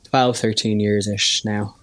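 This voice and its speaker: A man of thirty with a softened Irish accent, chatting casually as a hairstylist guest, self-deprecating and full of "like" fillers. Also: on the low side, flat delivery, unhurried, no room sound. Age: 30s. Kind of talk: casual interview chat.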